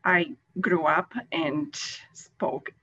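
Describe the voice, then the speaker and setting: A middle-aged woman with a Polish accent speaks on a video call in an unhurried, reflective way and pauses with fillers. Her voice is a little husky, and the call audio sounds muffled and lo-fi. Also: low-pitched, fairly even intonation, bright, forceful tone. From Poland. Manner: thoughtful, hesitant with fillers. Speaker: caller. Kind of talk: casual chat.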